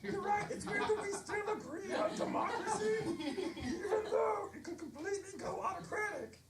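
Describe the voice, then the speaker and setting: A woman's voice: very high-pitched, normal speed, loud music behind the voice, a loud crowd, voice pushed up. A woman voicing a cranky, oddball toy doll in a brash, cartoonish manner for improvised comedy. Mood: resigned. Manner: brash, put-on cartoonish delivery. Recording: echoey stage, distant mic, background noise.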